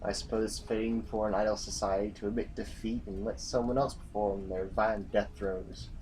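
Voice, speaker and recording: Low-voiced grim military general played by a man. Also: flat delivery, a little mellow, a little hiss or hum, lightly acted, low-quality recording. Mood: measured, weary. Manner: formal, measured, solemn phrasing. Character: grim, stubborn male military general facing a last stand. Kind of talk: science fiction audio drama acting.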